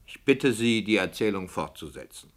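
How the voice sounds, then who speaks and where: An actor playing a fussy, cautious radio play director who keeps questioning the author in a prim, skeptical manner, in 1960s radio play style. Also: male voice, fairly low pitch, lively intonation, normal speed, lightly acted. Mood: wary.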